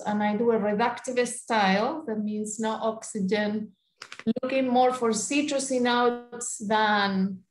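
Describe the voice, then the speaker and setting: Woman, Chilean Spanish accent, slow, halting, somewhat high voice, video call. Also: slight background noise. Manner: unhurried, halting with fillers. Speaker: winemaker. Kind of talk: video call panel talk. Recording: echoey room on a video call.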